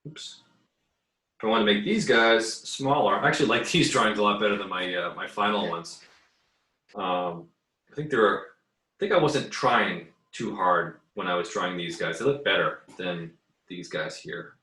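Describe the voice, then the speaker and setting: A man giving a casual, unscripted tutorial as an art teacher, haltingly thinking aloud as he works. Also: fairly low pitch, sharp tone. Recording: echoey, roomy sound.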